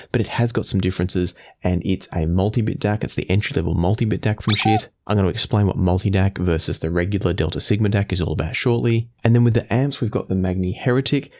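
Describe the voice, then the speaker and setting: A man with a fairly low voice talks as the host of a gear review. His delivery is conversational and explanatory, going through details thoroughly in a casual presenting style. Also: darkish tone.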